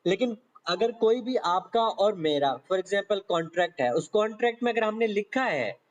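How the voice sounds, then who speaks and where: High-pitched Pakistani man giving a law lecture. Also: lively intonation, normal speed. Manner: explains step by step with repetition and frequent check-in tags. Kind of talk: conversational exam-prep lecture, explaining legal text. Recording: dull, lo-fi lecture audio.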